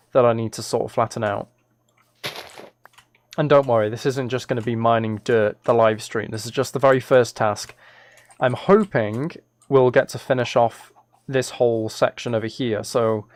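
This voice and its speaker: A man's voice: medium pitch, no echo. A man chatting casually on a live gaming stream, thinking aloud in a hesitant, rambling way.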